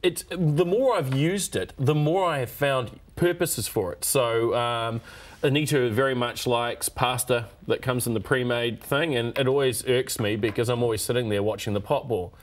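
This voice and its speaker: A man's voice: medium pitch, normal speed. A man in his forties with a New Zealand accent, chatting casually and with self-deprecating humour as he reviews a product in a TV studio. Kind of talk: casual TV demonstration chat. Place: TV studio at a desk.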